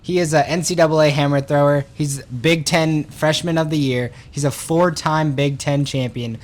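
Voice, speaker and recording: A man's voice: a little high, steady tone, normal speed, soft background music. A young adult man hosting a podcast, upbeat and casual, repeating words as he talks. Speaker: podcast host.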